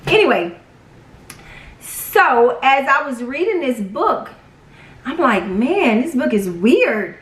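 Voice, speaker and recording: A young woman telling a personal story casually, with animated, dramatic retelling and quoted dialogue. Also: sits high, slow pace, long pauses. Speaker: vlog storyteller. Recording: roomy echo, distant from mic.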